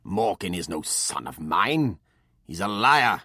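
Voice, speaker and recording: A man voicing a stern old male ghost-hunter who speaks slowly, deliberately and reproachfully, in a storybook reading. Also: deep voice, sing-song, pushed and bright, breathy edge, no echo, studio quality, heavily acted. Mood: angry.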